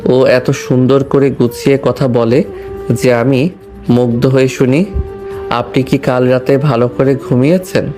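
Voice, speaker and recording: A man acting as a courteous young husband in an audio drama. He speaks calmly in a flat, even pitch with measured, deferential phrasing, over clearly audible music. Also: close to an everyday voice. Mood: polite, doting. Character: polite, calm young orphan posing as doting husband.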